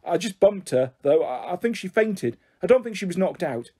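A man's voice: sits high, lively intonation, moderate pace, darkish tone, husky, voice pushed up. A man playing a shocked, plain-spoken bus driver in a storybook, rambling in colloquial speech. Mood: shaken.

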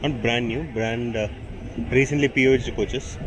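A man's voice: medium pitch, steady tone, moderate pace. A man giving casual, chatty commentary about the trains he passes while riding in a train, filmed on a handheld camera. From India. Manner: chatty, pointing things out with fillers. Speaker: train travel vlogger. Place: on a moving train by an open door. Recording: train noise behind.

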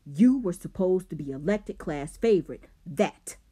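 A woman voicing a furious teenage boy who bullies with a bat, shouting threats. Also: lowish voice, lively intonation, unhurried, slightly rough. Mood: enraged.

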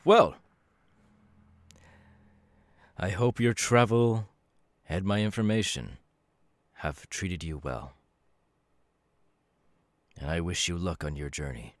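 A man voicing a calm, knowing demon warrior with quiet menace, in a measured, halting, dramatic delivery for an ASMR audio roleplay. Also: deep voice, no room sound, clean studio sound. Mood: hearty farewell. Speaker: voice actor.

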